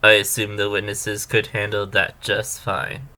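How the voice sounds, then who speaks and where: An actor playing an assertive, courteous defense partner in a courtroom audio drama, firm and formal. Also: male voice, on the low side, completely flat delivery, a little slow, pushed and bright. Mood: confident.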